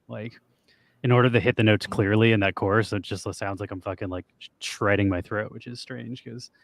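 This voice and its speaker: A young man with a low, raspy voice, chatting loosely and swearing casually as a podcast co-host. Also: steady tone, brisk, dry recording. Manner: loose and profane, trails off with fillers. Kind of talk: casual chat with guitar and singing.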